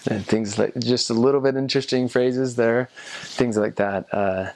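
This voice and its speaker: A young man and former missionary talking casually to the camera, rambling and halting with lots of ums. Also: mid-range voice, fairly even intonation. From United States. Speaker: returned missionary.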